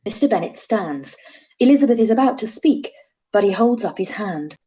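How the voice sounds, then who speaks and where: A woman reads stage directions for a live-read radio play. Her voice is mellow, and she delivers each line plainly and matter-of-factly. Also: mid-range voice, animated, normal speed, a little hiss or hum, poor audio. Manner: plain, matter-of-fact reading. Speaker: narrator reading stage directions.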